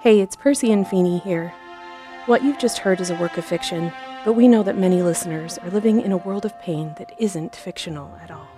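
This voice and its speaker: A woman reading a scripted podcast announcement in a measured, earnest manner. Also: fairly low pitch, warm tone, soft background music. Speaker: podcast host. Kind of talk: scripted announcement, listener support message.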